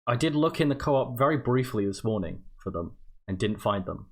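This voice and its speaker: A man's voice: medium pitch, expressive, moderate pace. A young man hosting a charity livestream, chatting through casual announcements in a hesitant, unscripted way. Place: indoor home streaming setup.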